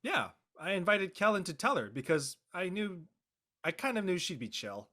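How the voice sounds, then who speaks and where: A man's voice: high voice, big pitch swings, normal speed, sharp tone, heavily acted. A man playing an easygoing, wry teenage boy, speaking casually in a drama read. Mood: thoughtful.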